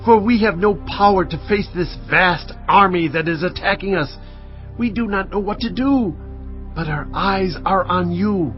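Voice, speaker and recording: A man's voice: high-pitched, measured pace. An actor playing an earnest king of Judah who gives solemn commands and prays aloud for help, in a dramatised scripture reading. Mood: pleading prayer. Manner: solemn, commanding, pleading in prayer. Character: King Jehoshaphat, earnest man leading his people. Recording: slightly muffled recording with faint music.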